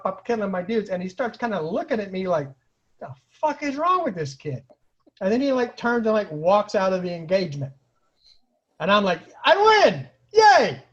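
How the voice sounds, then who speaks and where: A man telling casual comic stories in an animated way, acting out quotes and sound effects. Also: sits high, slightly rough, highs cut off. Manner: acts out quotes and sound effects, bursts into exclamations. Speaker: storyteller and host of an online story series.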